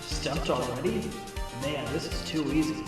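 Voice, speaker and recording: Man playing a cocky, higher-voiced fox lawyer. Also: expressive, unhurried, over music. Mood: smug. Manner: smug, pointed, teasing. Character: cocky young male fox defense lawyer. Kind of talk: fan audio drama acting.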